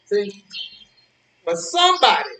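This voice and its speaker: High-voiced, preachy old man played by an actor. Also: sing-song, sharp tone, exaggerated voice. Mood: lecturing. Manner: moralizing, halting, repeating words for emphasis. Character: preachy, indignant old man, lonely park-bench regular. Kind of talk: stage play dialogue. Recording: echoey, distant-sounding, noisy ambience with birdsong.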